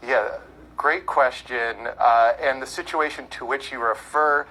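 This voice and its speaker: A man who hosts a podcast, guesting on a call-in show and answering callers in a measured, explanatory way with frequent fillers. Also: medium pitch, sharp tone, low-quality recording.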